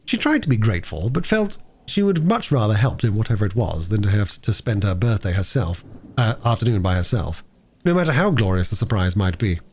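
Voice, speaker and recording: Man narrating with lively, swooping intonation. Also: lowish voice, fairly quick, a little hiss or hum. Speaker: audiobook reader. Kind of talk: storybook narration, cold reading aloud.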